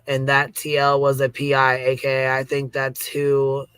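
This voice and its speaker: A man's voice: mid-range voice, monotone, normal speed, a bit bright, clear, resonant tone, dry recording. A man hosting a casual livestream chat, speaking in a blunt, sweary way. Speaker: host of an open-panel livestream.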